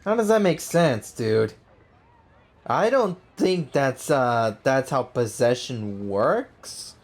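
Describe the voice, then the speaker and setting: Woman let's play host, deep register, very animated, casual and slangy with sympathetic asides. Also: takes it slowly. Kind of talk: casual reaction commentary over gameplay. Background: soft game music.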